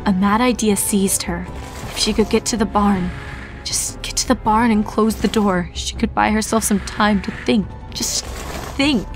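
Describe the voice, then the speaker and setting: A woman narrator with a medium-pitched voice reads a horror story. Her delivery is dramatic and scripted, with clear music playing behind her.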